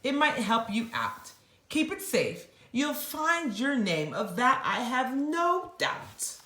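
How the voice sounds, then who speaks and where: A woman's voice: high-pitched, big pitch swings, slow pace, pushed and bright, echoey room, pitched above the performer's own voice, heavily acted. A woman voicing a forgetful, kindly, tearful female elephant in a dramatic storybook style. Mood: excited, kind. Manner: dramatic, exclaiming. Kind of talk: storybook character voice.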